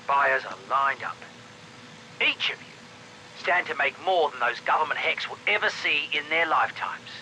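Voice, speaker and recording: A hard mercenary boss in a video game, with a very bright, forceful edge to his voice. He gives orders curtly and greedily. There is faint noise on the recording, and the pitch sits a little high. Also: lively intonation, moderate pace, low-quality recording. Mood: commanding, greedy. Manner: curt, commanding. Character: hard, greedy male mercenary leader briefing his crew. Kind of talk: game audio log, villain briefing.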